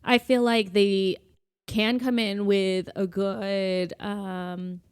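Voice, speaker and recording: A woman's voice: medium pitch, level tone, extremely slow, clean tone. A woman with a mid-range voice talks as a podcast co-host. She is informal and chatty, giving her opinions in a conversational way and often checking that the listener follows. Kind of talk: casual conversational podcast chat. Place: indoors, relaxed seated setup.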